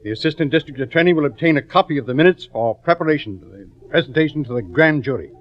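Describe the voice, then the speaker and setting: Stern male judge man acting, formal and commanding, fairly high voice, dull vintage radio recording. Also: animated, lightly acted. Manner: formal, authoritative, brisk questioning. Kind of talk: old-time radio acting.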